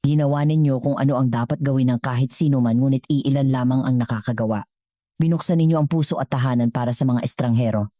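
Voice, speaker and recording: A woman narrator plays an affectionate adult daughter in a storybook tale. She speaks with tender, earnest gratitude in a low, mellow voice, close and dry. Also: fast talker, dry recording. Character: affectionate, grateful adult daughter giving heartfelt praise. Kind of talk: scripted storybook dialogue.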